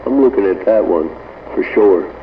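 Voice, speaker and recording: Deep voiced southern guy, folksy, middle aged, on a tinny far off cb radio. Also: lively intonation, normal speed. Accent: Southern American. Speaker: amateur radio operator. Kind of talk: casual radio chat. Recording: tinny, distant, noisy CB radio reception.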